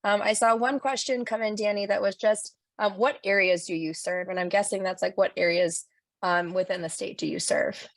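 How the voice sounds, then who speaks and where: A woman's voice: mid-range voice, steady tone, normal speed, no echo. A woman facilitating an online workshop over a video call, upbeat and speaking with frequent um fillers. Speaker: webinar facilitator.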